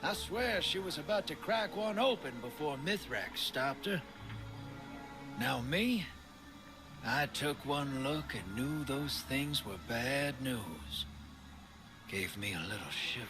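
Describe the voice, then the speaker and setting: Breezy, unhurried male adventurer. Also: medium pitch. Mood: uneasy, musing. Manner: casual, playful, chummy. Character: breezy, wisecracking male adventurer on debrief duty. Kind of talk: video game cutscene acting. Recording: music behind the voice. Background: background music.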